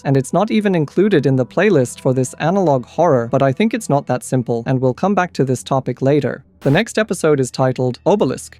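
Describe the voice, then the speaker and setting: A man's voice: a little high, warm tone. A man reading scripted explainer commentary as the host of a video essay. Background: background music.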